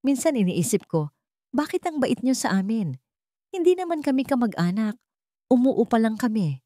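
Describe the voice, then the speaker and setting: A timid, weary single mother with a Filipino accent, acted by a woman narrator. She is respectful and anxious, pitched a little up, in a dry storybook recording. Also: very animated, normal speed, mellow, no echo. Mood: curious. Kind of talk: storybook character voice.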